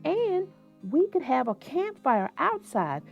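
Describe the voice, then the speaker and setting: Friendly young boy turtle, played by a woman reader, hopeful and keen, raised lively pitch, children's storybook. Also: takes it slowly, gravelly, dull audio, faint music behind, exaggerated voice. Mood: hopeful, excited. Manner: keen, suggesting brightly. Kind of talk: storybook character reading.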